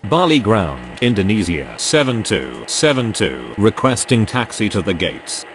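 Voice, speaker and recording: A man as a deep-voiced, formal airport radio operator. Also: very animated, unhurried, a little hiss or hum. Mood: businesslike. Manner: formal, clipped callouts. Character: male pilot and air traffic controller on radio. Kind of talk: procedural aviation radio phraseology.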